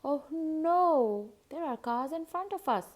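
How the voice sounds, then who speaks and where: A woman voicing an excitable, eager little girl from a storybook, with dramatic, expressive delivery. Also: sits high, slow pace, pitched above the performer's own voice. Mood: dismayed. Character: excitable, eager little girl who loves fancy words. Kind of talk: children's storybook character voice.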